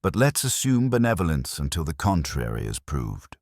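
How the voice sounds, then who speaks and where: Mild, unflappable detective inspector, read by a male narrator, very deep, polite, dry wit, mystery audiobook. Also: wildly swooping pitch, normal speed, a little mellow, no echo, full character voice. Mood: dryly amused. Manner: mild, polite, dryly understated. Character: calm, courteous, diplomatic male police inspector.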